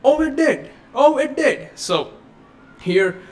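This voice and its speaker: A man hosting a casual live-stream tech tutorial at his home desk on a studio microphone, chatty and given to excited exclamations. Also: very high-pitched, takes it slowly. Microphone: USB studio microphone.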